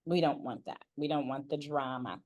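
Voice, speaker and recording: An American woman with a low-pitched voice hosts a conversational interview. She speaks with emphasis and restates key phrases to drive them home. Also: moderate pace, darkish tone. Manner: emphatic, repeats phrases for effect. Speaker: interviewer and voice-acting community leader.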